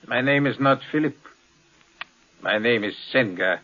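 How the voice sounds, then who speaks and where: An actor playing a bitter, guarded pilot in his thirties, speaking curtly in a 1950s radio drama. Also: mid-range voice. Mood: sullen. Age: 30s. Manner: curt, brooding, deliberate. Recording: dull, lo-fi 1950s radio recording.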